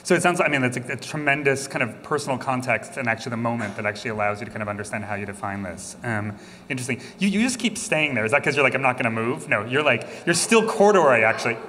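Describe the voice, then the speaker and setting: A man's voice: medium pitch, lively intonation, pushed and bright. Laughing male workshop facilitator, reverberant hall. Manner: playful, laughing, quick asides. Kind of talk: leading a group exercise. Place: large hall with a live group. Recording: long hall reverb, clear ambient noise.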